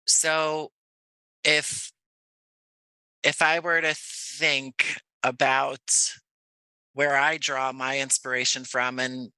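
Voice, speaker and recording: An American man from Jersey City speaking as a podcast guest, thoughtful and measured, with halting phrasing in a reflective conversation. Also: medium pitch, flat delivery, sharp tone, clean tone, dry recording, poor audio. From Jersey City, United States. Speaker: podcast guest, audio playwright.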